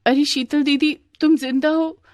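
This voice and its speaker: A woman's voice: high-pitched, fairly even intonation, a little slow, darkish tone, no echo, studio quality, pitched above the performer's own voice. A woman voicing a pampered young younger sister in a cartoon story, dramatic and complaining. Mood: alarmed, bewildered. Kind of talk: cartoon moral story acting.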